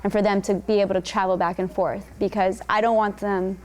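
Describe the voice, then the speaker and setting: Young woman student, from Ecuador, even monotone telling. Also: mid-range voice, fairly quick. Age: young adult. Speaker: university student. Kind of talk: interview.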